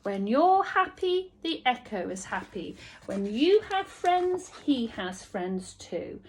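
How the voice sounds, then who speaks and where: A woman voicing a wise old male beaver who explains things gently in a children's storybook. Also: fairly high pitch, very animated, takes it slowly, slight background noise, poor audio. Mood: gently explaining.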